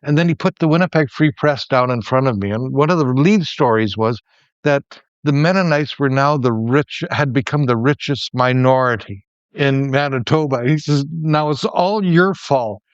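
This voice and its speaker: Smooth-voiced middle-aged man telling stories. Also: mid-range voice, moderate pace, dry recording, dull audio. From Canada. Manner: reflective storyteller, many restarts. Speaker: podcast co-host, former professor. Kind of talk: casual conversation, storytelling.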